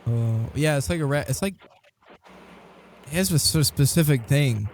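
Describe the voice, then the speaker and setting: A man's voice: mid-range voice, expressive, moderate pace, slight background noise. A man on a podcast panel chatting in casual banter, informal and jokey, repeating short phrases.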